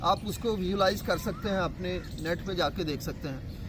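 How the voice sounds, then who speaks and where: A man teaches a yoga lesson in a high voice. He explains step by step and often stops on hesitant fillers. Steady noise sits clearly behind him. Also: moderate pace. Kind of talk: health lesson to camera.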